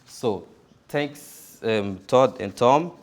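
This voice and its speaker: Guy giving a talk at a conference, slow, keeps stumbling and repeating himself. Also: mid-range voice, lively intonation. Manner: halting, many repeated words. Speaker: conference speaker. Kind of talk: conference presentation.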